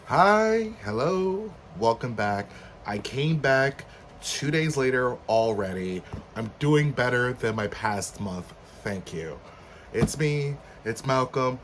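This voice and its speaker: A man hosting a casual rant vlog, slightly hoarse from being under the weather, chatty and animated with sudden high, upbeat outbursts. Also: slow pace, noisy background. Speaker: YouTube host.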